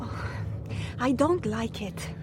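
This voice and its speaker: A woman's voice: on the high side, animated, takes it slowly, noisy background. An actress playing an anxious, easily indignant woman in a radio drama, questioning and reluctant. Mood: worried, reluctant. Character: anxious woman, close companion, openly indignant.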